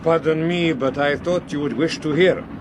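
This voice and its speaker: Actor as polite menacing doctor, man, slightly raised pitch, clear train rumble. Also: fairly even intonation, normal speed, close to an everyday voice. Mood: suavely polite. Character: smooth, sinister bearded foreign doctor, politely menacing. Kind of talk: radio drama acting.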